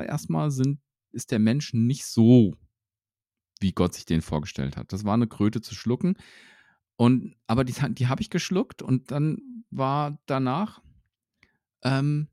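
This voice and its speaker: A man's voice: medium pitch, animated, measured pace, mellow, no room sound, clean studio sound. A man talking casually and reflectively about his faith, with many fillers and restarts.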